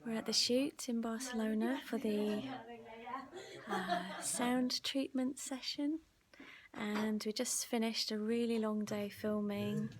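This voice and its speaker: A soft-spoken, breathy woman giving casual behind-the-scenes vlog narration, often dropping into a whisper. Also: a little high, fairly even intonation, takes it slowly, crowd noise. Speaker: ASMR vlogger and host.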